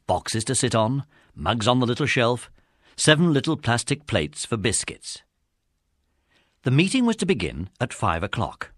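A man's voice: mid-range voice, big pitch swings, unhurried, dry recording, clean studio sound. A British man narrating a scripted children's story as the narrator of an audiobook.

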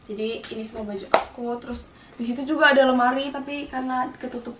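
A woman's voice: high-pitched, fairly even intonation, fast talker, a crowd in the background. A young woman, chatting informally and playfully as she moves around giving a casual house-tour vlog. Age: young adult. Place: indoors, rooms of a house. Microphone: handheld camera mic at some distance. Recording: echoey rooms, distant camera mic, noisy.

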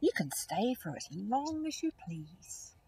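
A woman's voice: mid-range voice, big pitch swings, slow pace, vocal fry, mellow, far from the mic, slight background noise, exaggerated voice. A woman voicing a hungry, grumpy male brown bear in a picture book read-aloud, speaking bluntly. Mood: friendly.

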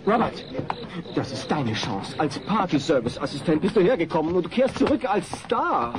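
An actor playing a smooth-talking, melodramatic party caterer in a sitcom audio drama, glib and theatrical. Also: male voice, on the high side, very animated, normal speed, noisy background. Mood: glib, persuasive.

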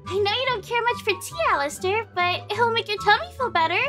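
A woman voicing a tiny, hyper female demon maid with a squeaky voice. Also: brisk, music in the background. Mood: chirpy.

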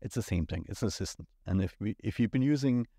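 Brief: German-accented man, 40s, low voice, laid-back podcast co-host, dry close mic. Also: quick pace, no echo. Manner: relaxed, rambling with many fillers. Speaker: IT company owner, podcast co-host. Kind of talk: casual podcast chat. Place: small studio set. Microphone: studio mic on boom at the mouth.

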